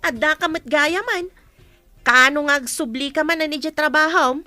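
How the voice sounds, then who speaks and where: An actress plays an excitable, nosy village woman in a radio drama, with an Ilocano accent. Her voice is extremely high and piercing, recorded dry. She is full of questions. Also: moderate pace, no room sound, soft background music. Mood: surprised, inquisitive. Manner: inquisitive.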